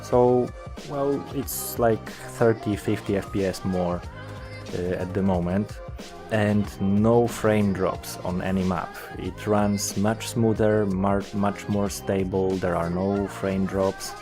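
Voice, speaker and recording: Slow, deep-voiced Polish man. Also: steady tone, over music. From Poland. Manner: hesitant, many filler sounds. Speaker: gaming YouTuber. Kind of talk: game update commentary.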